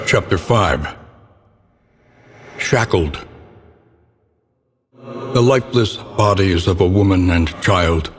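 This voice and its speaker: A brooding older male narrator tells dark gothic game tales in a low, weighty register. The delivery is slow, ominous and ornate, with a theatrical solemnity. The voice rings in a long reverb over faint background noise. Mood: grim, ominous. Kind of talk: theatrical scripted gothic storytelling.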